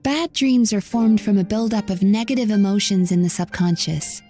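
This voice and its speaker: A woman voicing a young, calm and composed bathhouse owner over faint game music. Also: medium pitch, moderate pace, natural voice. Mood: reasoning.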